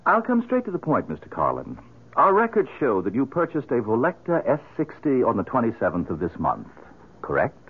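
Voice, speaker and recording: An actor in an old-time radio mystery playing a smooth-talking, subtly menacing salesman with a slick, insinuating manner. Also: mid-range voice, wildly swooping pitch, a little fast, exaggerated voice. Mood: smooth, businesslike. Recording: muffled telephone-like old radio recording.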